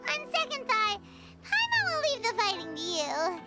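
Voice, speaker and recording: A woman's voice: extremely high pitch, heavily acted. A woman voicing a tiny, bubbly floating companion creature in a video game, who speaks of herself in the third person. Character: tiny floating female companion sprite, chirpy. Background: game music.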